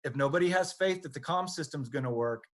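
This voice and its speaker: A man working as an audio technician, explaining things on a training panel in a halting way, thinking aloud piece by piece. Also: on the high side.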